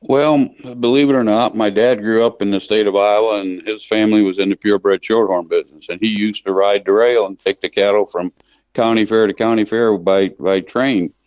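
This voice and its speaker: Very deep-voiced older American man. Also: steady tone, a little mellow. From Michigan, United States. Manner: plain-spoken, folksy, halting with repeated words. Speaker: interview guest, livestock association chief executive. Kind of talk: conversational interview, reminiscing. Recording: dull lo-fi line in a roomy space.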